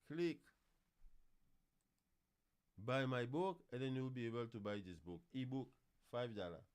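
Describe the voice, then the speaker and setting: A man's voice: medium pitch, unhurried, smooth. A man with a Haitian accent, a pastor teaching and promoting on a livestream in an emphatic, repetitive way. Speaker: pastor and host of a marriage teaching show. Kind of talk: preaching and promotional talk on a livestream.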